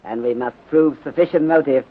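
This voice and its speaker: Male detective inspector, acted by a man, courteous and deliberate, clipped article-free formal English, old thin-sounding radio recording. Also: fairly high pitch, natural voice. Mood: calm, deliberate. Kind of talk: 1930s radio drama acting. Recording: tinny narrow-band 1930s radio recording.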